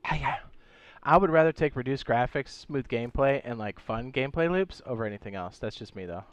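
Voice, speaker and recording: A man streaming a game, chatting casually and reading viewer comments, hoarse with a sore throat and losing his voice, often clearing his throat. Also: medium pitch, brisk, no room sound. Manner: laid-back, slangy, frequent throat clearing.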